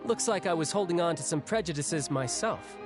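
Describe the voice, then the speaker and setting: Game hero, acted: young adventurer, expressive medium pitch, frank and casual, protective, music underneath. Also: fairly quick, close to an everyday voice. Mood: friendly. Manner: casual, conversational, candid. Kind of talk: video game story dialogue.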